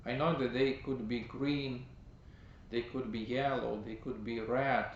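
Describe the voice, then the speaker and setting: Priest, male, mid-pitched, unhurried halting sermon delivery with repeated words; echoey, muffled, noisy church recording. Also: steady tone. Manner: slow, halting, with many pauses and fillers. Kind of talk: teaching sermon. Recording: roomy, dull, noisy recording.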